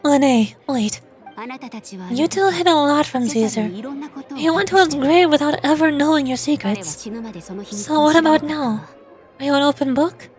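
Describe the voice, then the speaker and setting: A woman's voice: high-pitched, animated, a little slow, pauses often, music in the background. A woman voicing a bitter, guilt-ridden woman thief from a game, grave and reproachful. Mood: bitter warning.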